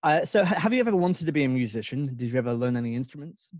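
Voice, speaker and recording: A man's voice: mid-range voice, expressive, fast talker, a little mellow, no room sound, clean studio sound. A young man hosting a casual podcast interview, agreeable and easygoing, with a lot of ums and uhs. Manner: easygoing, agreeable, many fillers. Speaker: podcast host.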